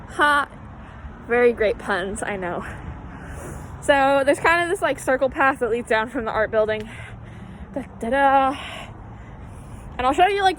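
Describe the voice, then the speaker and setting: A young woman with a fairly high voice chats her way through a walking campus tour outdoors. She is upbeat and loose, trips over words and fixes them as she goes. A faint hiss of outdoor noise runs under her voice. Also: fairly bright tone, poor audio. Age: young adult. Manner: chatty, upbeat, many fillers and self-corrections. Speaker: student tour guide. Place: outdoors on a college campus, near a highway.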